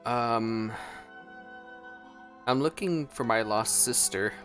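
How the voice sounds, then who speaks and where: A man's voice: medium pitch, takes it slowly, fairly bright tone. A man giving casual, musing gameplay reaction commentary in a laid-back way. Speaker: gameplay streamer. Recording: game music in the background. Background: game soundtrack.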